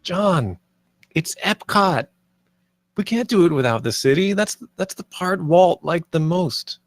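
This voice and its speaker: A man's voice: high-pitched, expressive, unhurried, no echo. A man playing an earnest, stubborn theme-park designer in a screenplay table read, speaking insistently and pleadingly.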